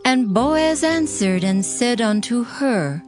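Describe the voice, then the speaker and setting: A woman reading scripture as a narrator in a measured, formal storytelling manner. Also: a little high, expressive, darkish tone, soft background music.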